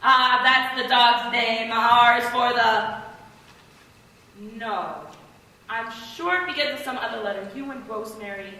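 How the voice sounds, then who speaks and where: In a very echoey hall, far from the mic, a woman plays a fussy old nurse in Shakespeare. She is garrulous and weary, rambling and teasing in a bright, fairly high theatrical voice. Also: a little slow. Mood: chatty, fond. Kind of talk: Shakespeare stage acting. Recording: echoey hall, distant mic, faint noise.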